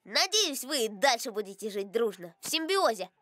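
A woman's voice: on the high side, big pitch swings, normal speed, fairly bright tone, slight background noise. A woman voicing an earnest, caring young boy in a cartoon, explaining things in a reasonable, encouraging way. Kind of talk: animated series voice acting.

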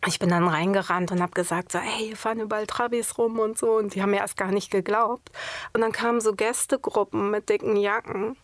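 A woman's voice: medium pitch, steady tone, a little fast, dry recording. A woman casually reminiscing in an interview, telling stories in a chatty, colloquial way. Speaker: club scene witness.

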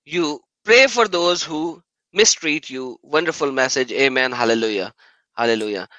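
Bright, crisp host reading viewer questions. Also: male voice, mid-range voice, moderate pace, no echo, lo-fi sound. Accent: Pakistani. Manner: reads viewer questions, jokes and laughs. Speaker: host of a live stream. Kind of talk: live stream Q&A. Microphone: video call.

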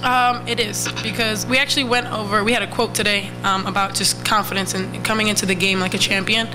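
A young woman college basketball player speaks earnestly at a press conference table. Her tone stays flat and even, with a few fillers. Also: mid-range voice, brisk, music in the background, low-quality recording. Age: young adult. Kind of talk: post-game press conference answers. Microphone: table microphone.

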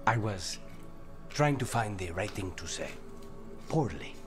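A man's voice: on the low side, expressive, music in the background. A male actor playing an awkward, earnest assassin in a video game, speaking hesitantly. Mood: shy, tender. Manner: halting, hesitant.

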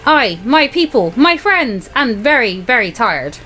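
A woman's voice: a little high, big pitch swings, a little slow, pushed and bright, slight background noise, exaggerated voice, rough recording. A woman voicing a weary older male leader giving a grave, solemn televised address in a visual novel. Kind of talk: dramatic political address read from a visual novel.

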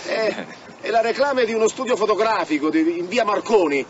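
An actor playing a deferential, nervous police marshal in a radio drama, hesitant and stammering a little. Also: male voice, high voice, expressive, normal speed, a loud crowd. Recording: muffled radio drama with outdoor noise.

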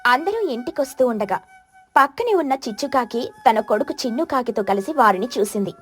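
High-voiced woman telling a storybook tale. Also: expressive, a little fast, husky. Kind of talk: scripted cartoon narration. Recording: faint music underneath.

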